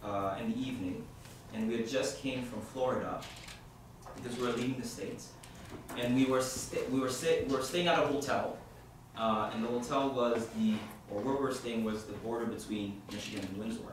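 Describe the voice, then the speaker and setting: A man from Haiti, a youth minister, praying and then telling a story to a group in a halting, informal way with many fillers. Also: medium pitch. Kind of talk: opening prayer and talk to a group. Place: hall at a university centre. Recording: echoey room from far off, background noise.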